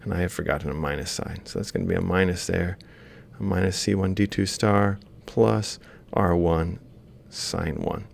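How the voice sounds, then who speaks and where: A man giving an informal, step-by-step lecture, explaining haltingly as he works through examples. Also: deep voice, fairly even intonation, gravelly. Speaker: university robotics lecturer. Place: screen-recorded lecture voice-over.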